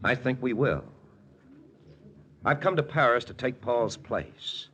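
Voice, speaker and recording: An actor with an American accent playing a world-weary intelligence lieutenant in a 1940s radio drama, grave and measured in a confessional way. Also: male voice, mid-range voice, animated, a little hiss or hum. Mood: firm, blunt. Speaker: actor narrating and playing the lead. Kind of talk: 1940s radio drama acting, first-person narration. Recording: old radio recording with loud music.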